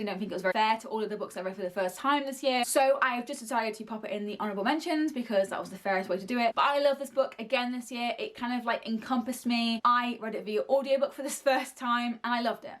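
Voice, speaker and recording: A woman's voice: fairly high pitch, fairly bright tone. A young woman in her early twenties reviewing books in a casual, gushing way and swearing freely. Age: early 20s. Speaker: book reviewer. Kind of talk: casual book review to camera.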